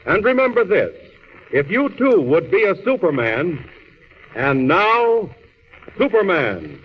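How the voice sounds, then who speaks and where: A man's voice: sits high, very animated, slow, heavily acted. A man narrating a superhero radio serial in a grand, declamatory 1930s style. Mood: grand, dramatic. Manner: theatrical, declamatory, crisp phrasing. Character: grand, urgent male storyteller of a superhero serial. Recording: dull, hissy old radio recording.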